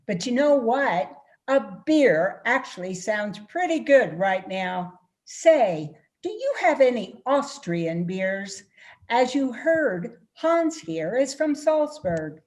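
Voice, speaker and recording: A woman's voice: fairly high pitch, big pitch swings, slow pace, a little mellow. A woman playing a chatty, upbeat young electrical worker in a folksy way, in an amateur radio-style play. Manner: folksy, exclamatory.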